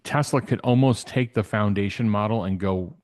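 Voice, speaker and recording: Male guest commentator, lowish pitch, dry sound, conversational, halting as he rephrases. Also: a little mellow, no room sound. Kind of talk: casual off-the-cuff commentary.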